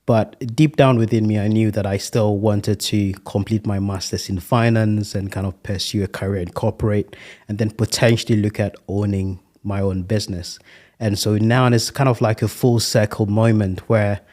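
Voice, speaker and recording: Earnest low-voiced man from Ghana. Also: fairly even intonation, a little mellow. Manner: earnest, reflective, measured with fillers. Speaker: guest, restaurant franchise owner. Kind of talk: podcast interview.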